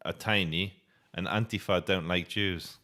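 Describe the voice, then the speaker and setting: A man arguing forcefully in a street debate, assertive and quick to push back. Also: low voice, steady tone. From United Kingdom. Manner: assertive, argumentative, calls others bro.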